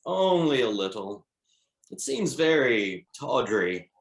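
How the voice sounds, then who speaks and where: A man's voice: mid-range voice, very animated, slow pace. Echoey, swooping man playing a playful wit. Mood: droll, playful. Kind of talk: table read of screenplay dialogue. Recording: echoey room.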